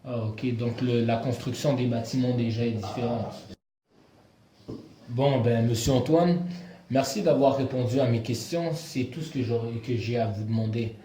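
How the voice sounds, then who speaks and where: A man's voice: mid-range voice, normal speed, mellow, a little hiss or hum. A man interviewing someone in a formal, polite way, often stopping to hesitate and correct himself. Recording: echoey room.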